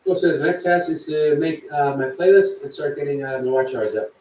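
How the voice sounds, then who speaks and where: A man's voice: on the high side, normal speed, roomy sound, recorded from a distance, a little hiss or hum, rough recording. Chatty man reading his live chat. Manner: chatty, warm to viewers, reads chat aloud. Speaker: livestream host.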